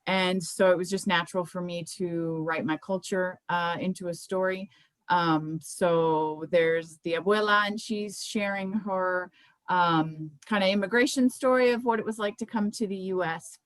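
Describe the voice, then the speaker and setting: A woman's voice: on the low side, steady tone, a little slow, dry recording. Conversational American woman, dry close sound. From Miami, United States. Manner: conversational, informal. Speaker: children's book author presenting her books. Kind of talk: informal book presentation.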